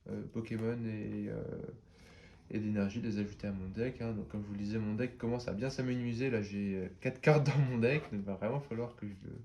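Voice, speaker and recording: A man talks through a card game match, stuffy from a head cold. His voice sits low, and he explains each play in a loose, chatty way full of fillers. Soft clicks of cards sit faintly behind him. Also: steady tone, brisk, muffled recording, slight background noise. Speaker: card game player and channel host.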